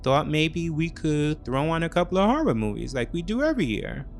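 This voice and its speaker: A man acts out a boyfriend in an audio thriller, pitched up and louder than narration. He talks casually and offhandedly, eager to sound helpful, with a concern that rings false. Music plays underneath. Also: sing-song, fairly quick, gravelly. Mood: casual, excusing.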